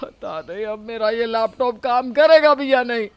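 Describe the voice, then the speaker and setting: A woman's voice: on the high side, moderate pace, darkish tone, a little hiss or hum, natural voice. Woman playing an exasperated, pleading young husband. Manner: pleading, dramatic complaining.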